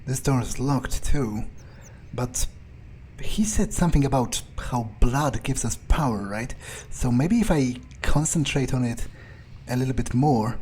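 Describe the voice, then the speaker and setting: Slow-talking man, smug and menacing. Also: mid-range voice, dark tone, slight background noise. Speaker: video game player roleplaying a vampire in character. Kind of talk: in-character let's play, musing aloud.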